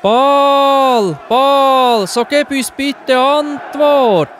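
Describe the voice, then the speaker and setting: A man's voice: very high voice, extremely slow, a bit bright, slight background noise, exaggerated voice. A man playing a naive, cocky worshipper of a false god in a children's audio drama. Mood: pleading.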